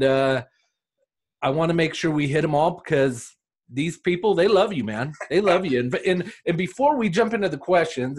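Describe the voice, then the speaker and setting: Brief: male interview host, friendly and encouraging. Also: a little high. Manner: upbeat, encouraging questioner. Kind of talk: casual interview.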